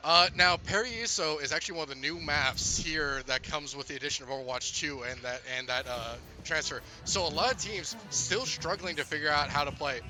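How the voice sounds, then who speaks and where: A man doing color commentary for an esports broadcast, with a slightly high voice. He explains strategy with playful quips, and clear music plays under his voice. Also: brisk, few pauses, sharp tone, low-quality recording.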